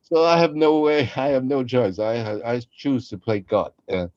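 A man co-hosting a casual chat in a halting manner, often repeating his phrases. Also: mid-range voice, lively intonation, no room sound. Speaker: podcast host, voice performer. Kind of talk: casual behind-the-scenes chat. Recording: slightly dull, lo-fi sound.

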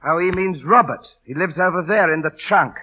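An actor playing a cocky, teasing but kindhearted working-class London busker, in theatrical old radio drama style. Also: male voice, fairly high pitch, brisk, breathy edge. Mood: breezy, bantering. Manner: theatrical, wry, colloquial. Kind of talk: 1930s-40s radio drama acting. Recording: muffled old radio broadcast recording.

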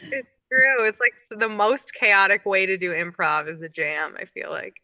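A woman's voice: medium pitch, expressive, moderate pace, pushed and bright. A woman co-hosting a podcast, chatting casually on a slightly dull recording. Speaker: podcast co-host, improviser. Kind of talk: casual chat.

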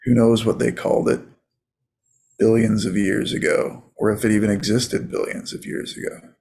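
A man leading a guided meditation as a coach, in a soothing, casual, unhurried manner. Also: fairly low pitch, little pitch movement, creaky, a little mellow, husky.

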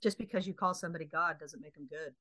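A woman co-hosting a book podcast, speaking in a measured, opinionated way with thoughtful pauses. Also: low voice, sharp tone. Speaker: podcast co-host. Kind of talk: casual book discussion.

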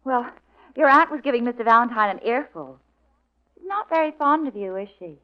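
An actress playing a wry, coquettish young American assistant with an arch, playful manner, in a 1940s radio drama. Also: female voice, on the high side, expressive, brisk, natural voice. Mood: coy, wheedling. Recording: muffled vintage radio recording.

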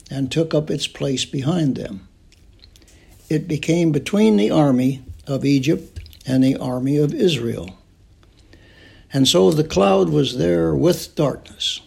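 A man reading scripture aloud in a solemn, measured and deliberate way. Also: mid-range voice, gravelly, a little mellow, husky. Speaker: scripture reader.

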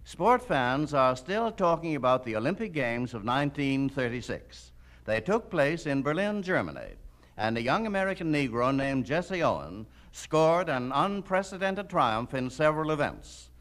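A man's voice: fairly high pitch, sing-song, normal speed, a bit bright. A man reading scripted narration as the narrator of a documentary.

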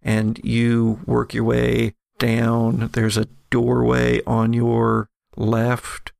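Gravelly male game master, dry close sound. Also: medium pitch, completely flat delivery, very slow, a little mellow, no echo. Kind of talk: narrating a horror tabletop game, semi-improvised.

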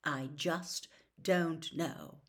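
A woman voicing a troubled adult male wizard who gives earnest, hurried warnings in a storybook reading. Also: fairly low pitch, natural voice. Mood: urgent.

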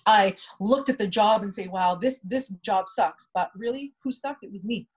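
A woman's voice: mid-range voice, moderate pace, husky. A woman co-hosting a podcast, candidly and reflectively telling a personal story, often repeating words for emphasis. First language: English. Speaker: podcast co-host.

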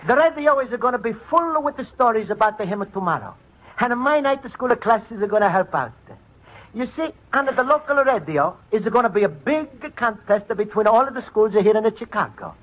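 A man's voice: high-pitched, a bit bright. A man playing a good-natured Italian immigrant in an old radio comedy, speaking earnest, simple broken English with an Italian accent. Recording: noisy, dull vintage radio recording.

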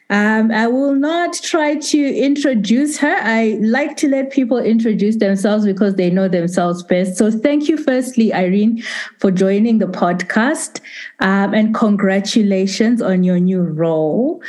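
A woman's voice: a little high, a little mellow. A woman hosting a conversational interview podcast, rephrasing herself as she goes in an encouraging way.

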